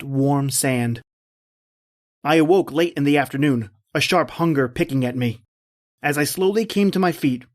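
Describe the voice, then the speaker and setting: A man's voice: a little high, lightly acted. A man playing a shipwrecked big-game hunter, polite and observant, in earnest first-person radio drama style. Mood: urgent, relieved.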